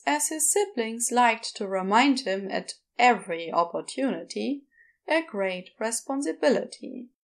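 A woman with a fairly high voice reads fiction as a narrator. She takes it very slowly, telling the story in a measured, wry way.

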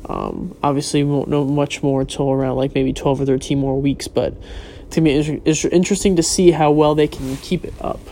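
A young man with a slightly high, congested voice, stuffy from a cold. He rambles casually in a vlog, full of ums and restarts. A faint hiss of noise sits behind him. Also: sing-song, a little fast, gravelly, mellow. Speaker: vlogger. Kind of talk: casual solo talk on football and sneakers.

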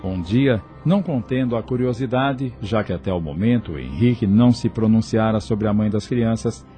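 A man's voice: lowish voice, normal speed, mellow, soft background music. A man narrating a scripted radio drama in a dramatic storytelling style.

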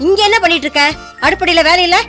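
A woman playing a bossy, thrifty older mother-in-law in a radio drama, sharp and nagging. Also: extremely high pitch, fast, faint music behind. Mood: bossy, scolding.

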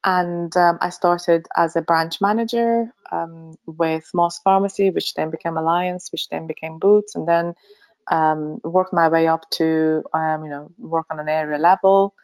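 A woman's voice: deep voice, fairly even intonation, a little slow, darkish tone. A middle-aged woman from Finland, a pharmacy leader giving an interview, speaking thoughtfully with frequent ums and easy laughs. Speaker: pharmacy association chief executive, guest. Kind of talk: remote interview.

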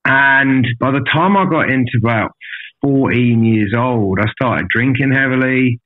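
A man speaks as a podcast guest, telling his story in a candid, matey style and swearing freely. His pitch sits around the middle for a man. The sound is narrow and phone-like, as if through a small radio. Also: measured pace. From an island outside Australia.

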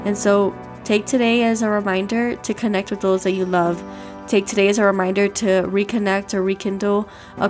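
Congested, nasal woman host, earnest and chatty, faint music bed. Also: mid-range voice. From Miami, Florida. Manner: earnest, upbeat and chatty, with fillers. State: stuffy and congested. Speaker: devotional podcast host. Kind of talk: casual devotional talk and spoken prayer.

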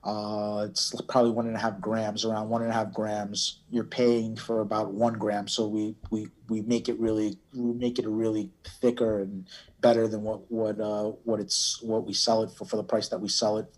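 New guy chatting about his business in an interview, kinda halting, restarts a lot. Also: fairly low pitch, flat delivery, poor audio. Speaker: cannabis brand owner, podcast guest. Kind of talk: casual interview answers.

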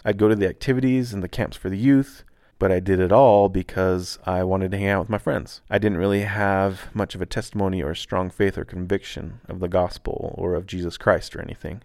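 A man who grew up in Taiwan speaks in a low, unhurried register, sharing a personal reflection on his podcast. His tone is calm and earnest, like a laid-back guy talking plainly. The recording is dry and close, with no room sound at all. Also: no room sound. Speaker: podcast host.

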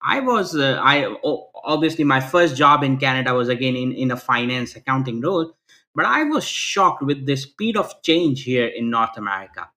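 A man's voice: mid-range voice, animated, normal speed, sharp tone. A man, answering interview questions earnestly over a video call, restarting phrases often and ending points with "right?". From Pakistan. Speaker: guest.

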